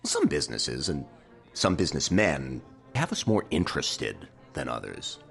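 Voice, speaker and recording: Very deep, insinuating official. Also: male voice, sing-song, a little slow, gravelly, a bit bright, soft background music, heavily acted. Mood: sly, insinuating. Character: sly, menacing town business official keeping watch. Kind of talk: audio drama acting.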